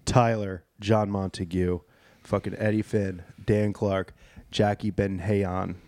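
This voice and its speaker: A man co-hosting a podcast, casually plugging the show with loud, profane energy. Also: lowish voice, flat delivery, slow, dry recording. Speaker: podcast co-host. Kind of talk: crude casual podcast banter.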